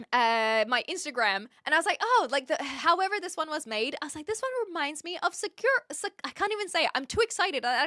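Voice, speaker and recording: Bright, posh Kiwi woman streaming. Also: high voice, no room sound, clean studio sound. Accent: posh New Zealand. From New Zealand. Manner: chatty, expressive, giggly. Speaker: livestream host. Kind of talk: casual livestream chat, answering viewer comments.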